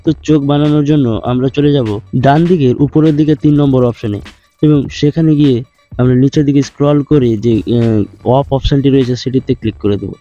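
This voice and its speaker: A man narrating a step-by-step screen tutorial in a steady instructional way, addressing viewers as friends. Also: mid-range voice. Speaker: tutorial host. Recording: dull lo-fi with faint music.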